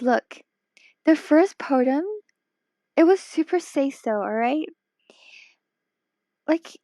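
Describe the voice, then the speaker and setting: Tired, high-voiced woman streamer, slow talk. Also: darkish tone, dry recording. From Hong Kong. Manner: playful and teasing, slipping into whispers. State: tired, voice worn from a ten-hour stream. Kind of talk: casual live-stream chat while drawing.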